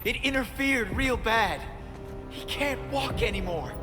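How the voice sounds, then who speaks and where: Young American hero, video game. Swinging, animated pitch, stubborn, wisecracking, emphatic, under loud score. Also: male voice, measured pace. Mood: angry, accusing. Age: young adult. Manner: emphatic, quippy, urgent. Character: Peter Parker, impulsive wisecracking young superhero, earnest and stubborn. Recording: loud music over the voice.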